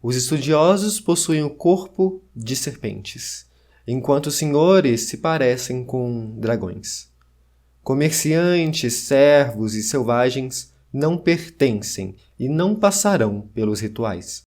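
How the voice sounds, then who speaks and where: A man reading scripted narration of an ancient text in a solemn, measured way. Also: medium pitch, animated, a little mellow.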